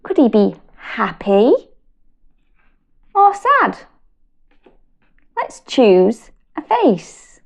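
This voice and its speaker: A British woman reading a picture book aloud to children in a gentle, expressive way, rising high on her questions. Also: vocal fry, muffled recording. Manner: slow, gentle and expressive, with big pitch lifts on questions. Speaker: storyteller and wellbeing educator.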